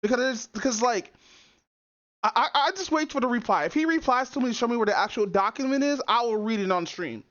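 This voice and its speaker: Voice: mid-range voice, lively intonation, fast, a bit bright, muffled recording. A streamer with a feminine-sounding voice, talking casually over a reaction video in a profane, rambling way full of fillers and restarts.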